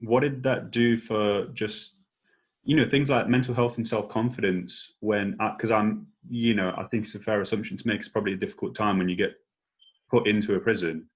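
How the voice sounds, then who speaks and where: A man with a lowish voice hosts a discussion. His delivery is casual and tentative, informal in wording, as he builds questions loosely out of conversational asides. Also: fairly even intonation, fairly quick, husky, low-quality recording. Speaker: discussion host.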